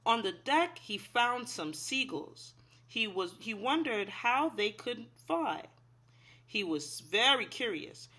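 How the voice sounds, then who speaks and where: A woman reads a picture book to small children in a bright voice with lively swings of pitch. She takes her time and speaks to her young listeners as a teacher would, guiding them with simple questions. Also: slow, slightly rough. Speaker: read-aloud storyteller, teacher.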